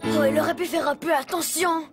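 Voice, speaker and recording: Woman voicing a high-pitched grumpy little cartoon dog. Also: fairly even intonation, fairly quick, natural voice. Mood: exasperated. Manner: exasperated, blustering. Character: grumpy little talking dog pretending to be a toy. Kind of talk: cartoon voice acting. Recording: music behind the voice.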